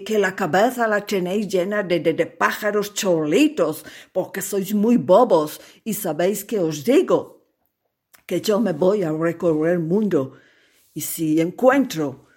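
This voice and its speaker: A woman voicing a blunt, exasperated English gentleman who stammers as he scolds. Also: lowish voice, husky.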